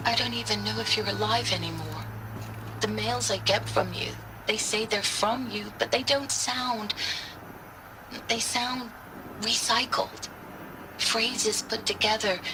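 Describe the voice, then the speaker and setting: A woman's voice: a little high, measured pace, close to an everyday voice. Actress as an earnest, loving woman over background noise. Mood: anguished. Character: anxious, loving woman writing to her soldier partner. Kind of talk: dramatic voice-message monologue.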